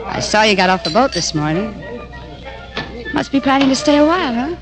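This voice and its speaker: A woman's voice: a little high, sing-song, a little fast, crowd noise. An actress playing a brash, friendly bar girl with a folksy, slangy drawl in 1950s radio drama. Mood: brash, casual. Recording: old radio drama, music behind.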